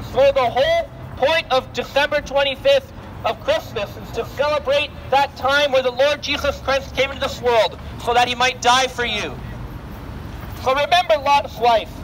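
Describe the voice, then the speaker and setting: Very high-pitched young male street preacher. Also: fairly bright tone. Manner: earnest, declamatory, projecting, repetitive direct address. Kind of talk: open-air gospel sermon. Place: outdoors on a busy city sidewalk. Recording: noisy city street, traffic ambience.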